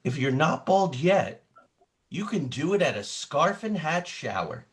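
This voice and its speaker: A man's voice: fairly high pitch, big pitch swings, a little slow. A man who works as an actor and children's storyteller, speaking with a theatrical manner. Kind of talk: casual explaining and dramatic read-aloud.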